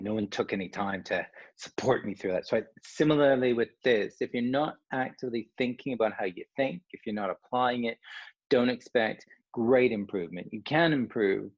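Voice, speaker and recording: Husky Canadian man leading a workshop. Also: lowish voice, dry recording, dull audio. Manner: conversational, with frequent restarts and asides. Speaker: coach. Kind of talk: online workshop presentation.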